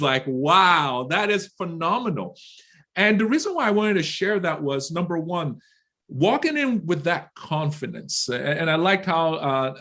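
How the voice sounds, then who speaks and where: A man's voice: fairly high pitch, a bit bright. A man speaking as a panel guest, retelling something he read with enthusiasm. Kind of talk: casual panel discussion. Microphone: video call.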